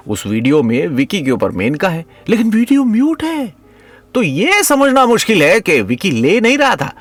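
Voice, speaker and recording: A man's voice: on the high side, no echo. A man narrating a scripted audio story in a dramatic storytelling style.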